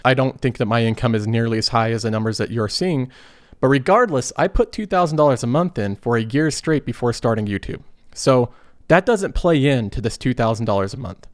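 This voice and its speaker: A man's voice: medium pitch, lively intonation, brisk, no echo, studio quality. A thirty-year-old man getting over a cold, explaining personal finance in an earnest, conversational monologue. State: recovering from a cold.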